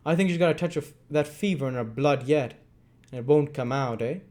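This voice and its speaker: A gruff, bullying robber, as a man reads him. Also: on the high side, fairly quick, pitched above the performer's own voice, natural voice. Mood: grumbling. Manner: loud, gruff and threatening. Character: brutal, bullying grown male robber. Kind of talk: dramatic read-aloud.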